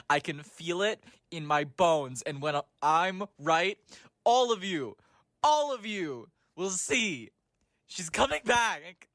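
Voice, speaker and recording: A man with a slightly high voice makes his case in a listener message. He is dramatic and insistent, with playful mock obsession. The recording is dry and close. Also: a bit bright, no echo. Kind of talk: playful fan theory monologue.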